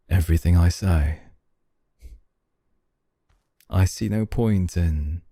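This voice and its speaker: A male actor as a suave young boss in an ASMR roleplay, with a very dark, mellow, low voice. He speaks slowly and softly, calm and formal, with quiet dominance, recorded close and dry. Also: no echo. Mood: teasing, assured. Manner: slow, deliberate, smooth formal courtesy, soft chuckles.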